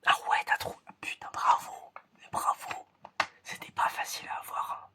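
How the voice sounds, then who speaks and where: An ASMR creator whispering a casual, encouraging roleplay test, softly repeating words. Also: moderate pace. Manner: casual, encouraging, informal phrasing. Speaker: ASMR creator playing an examiner. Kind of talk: ASMR roleplay, testing the listener's senses.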